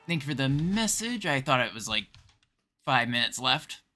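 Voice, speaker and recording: A man's voice: medium pitch, very animated, moderate pace, bright, forceful tone, faint music behind. A man from Canada chatting casually as he livestreams a game.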